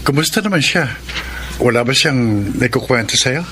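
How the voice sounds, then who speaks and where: Radio drama part: possessive older suitor, middle-register voice, carefully probing, faintly noisy track. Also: male voice, mid-range voice, lively intonation, normal speed, sharp tone. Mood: casual, probing. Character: older suitor, jealous probing uncle-figure to students. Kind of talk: Filipino radio drama acting.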